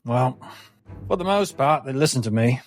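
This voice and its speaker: A man's voice: medium pitch, lively intonation, moderate pace, soft background music, voice pushed up, close to an everyday voice. A man voicing a wry young deer-man with a blunt, casual way of talking. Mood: wry, guarded.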